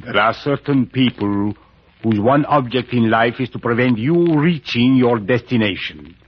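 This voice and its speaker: A man's voice: medium pitch, lively intonation, unhurried, breathy edge. An actor playing a sinister, silky, mockingly courteous cult priest in an old-time radio drama, drawing out his words with theatrical relish. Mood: amused, taunting. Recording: muffled vintage radio recording.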